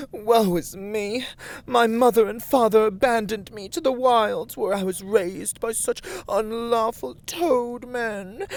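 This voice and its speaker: A man playing an arrogant, teasing villain warlord who craves control, in a theatrical ASMR roleplay, with breathy, mocking, drawn-out delivery. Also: sits high. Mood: mocking melodrama. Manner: haughty, languid, mocking, drawn-out words.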